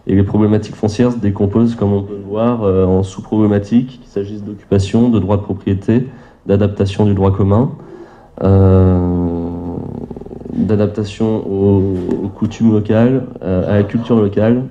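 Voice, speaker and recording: A man giving a formal conference presentation with slides as a public agency representative, speaking haltingly with frequent hesitations and repeated words. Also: on the low side, steady tone, warm tone, dull audio.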